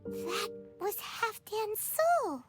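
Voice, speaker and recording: A woman voicing a tiny, childlike fairy companion in a video game, earnest and excitable, with a squeaky, inquisitive way of talking. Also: extremely slow, soft background music, full character voice. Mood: subdued. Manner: chirpy, inquisitive, exclaiming. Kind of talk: cartoonish video game voice acting.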